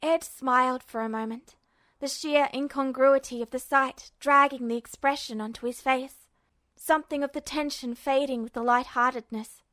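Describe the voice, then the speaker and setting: A woman's voice: sits high, fairly even intonation, moderate pace, fairly bright tone. A woman reading scripted fiction aloud as a narrator. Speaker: podfic narrator.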